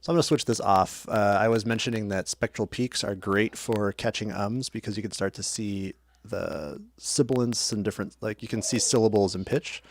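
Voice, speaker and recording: A man's voice: fairly low pitch, moderate pace, hardly any pauses. A man casually talking through his podcast editing steps, correcting himself and narrating each click as he works. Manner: chatty, self-correcting, talks while working. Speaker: audio editing tutorial host. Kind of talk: casual screen-share tutorial.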